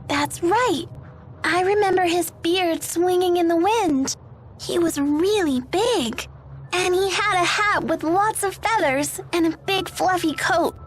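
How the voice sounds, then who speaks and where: A woman voicing a shy, gentle little orphan girl in an anime game, with a hesitant manner. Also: extremely high pitch, slow pace, a little hiss or hum. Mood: wistful. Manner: soft-hearted, hesitant. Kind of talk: anime game dub acting. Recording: music behind.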